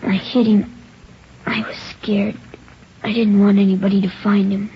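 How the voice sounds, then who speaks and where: A performer playing a guilt-stricken nine-year-old boy in an old radio drama, halting, breathy and pleading. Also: mid-range voice, flat delivery, natural voice. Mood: guilty, scared. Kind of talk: 1950s radio drama acting. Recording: dull, noisy old radio recording.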